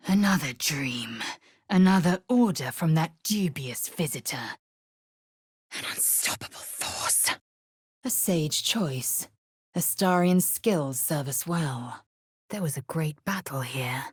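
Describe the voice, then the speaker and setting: An actress voicing a fierce, proud githyanki warrior woman in a video game, husky and breathy, with a clipped, imperious, disdainful delivery. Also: deep voice, no echo, studio quality, full character voice. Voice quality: husky, raspy, breathy edge. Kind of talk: video game character voice acting.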